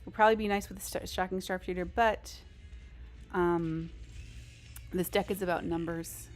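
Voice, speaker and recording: Casual, slightly low-pitched woman streamer. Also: steady tone, normal speed, faint music behind. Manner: rambling, self-deprecating, informal. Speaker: live streamer. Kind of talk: casual gaming stream chat.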